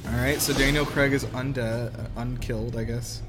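A man's voice: mid-range voice, fairly even intonation, moderate pace, over music. A man hosting casual film commentary, incredulous and joking, in a conversational style. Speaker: podcast host giving film commentary. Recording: film soundtrack playing behind.

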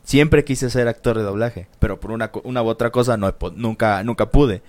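A man's voice: fairly low pitch, a little fast, darkish tone. Slangy man in casual chat. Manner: slangy and swearing, with many fillers.

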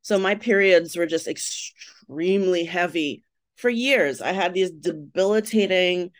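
A woman's voice: on the low side, unhurried, dry recording, clean studio sound. A woman from Trinidad, a writer and blogger, chatting as a podcast guest and telling her story candidly with frequent laughs. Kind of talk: casual interview chat.

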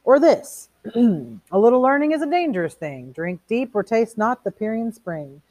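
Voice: medium pitch, animated, moderate pace, a little mellow, no room sound, pitched above the performer's own voice, lightly acted. A reader voicing a mocking, erudite male fire captain who declaims quotations theatrically in a storybook reading. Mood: taunting. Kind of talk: audiobook character reading.

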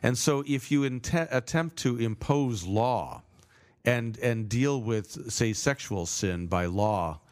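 A man co-hosting a casual theology podcast, speaking haltingly and often repeating words as he works out his point. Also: mid-range voice, lively intonation, a little mellow.

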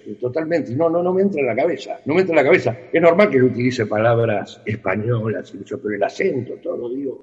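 A man's voice: mid-range voice, breathy edge, long reverb tail, dull audio. An Argentine man with a Rioplatense accent, a reflective interview guest in casual conversation.

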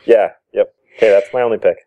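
Gravelly low-voiced man cracking wise. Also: fairly even intonation, quick pace, lo-fi sound. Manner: dry wisecracks. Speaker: podcast panelist. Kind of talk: casual podcast chat.

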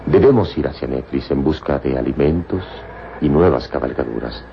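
An extremely deep male voice plays a noble adventure hero of a radio serial. He is calm and commanding, with formal, poetic delivery. The old recording is muffled and noisy. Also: vocal fry. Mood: grave, resolute. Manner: formal, measured, poetic phrasing.

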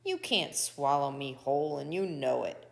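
A woman's voice: low voice, expressive, moderate pace, vocal fry, in a large hall, voice pushed down, exaggerated voice. A woman voicing a stubborn, sly little horned toad in a folk tale, defiant and matter-of-fact.

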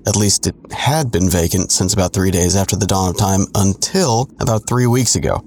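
A man's voice: on the low side, gravelly, darkish tone, slight background noise. A man playing a wry, self-deprecating storyteller in an audio drama, narrating his reckless youth with sardonic digressions. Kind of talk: scripted first-person audio drama narration.

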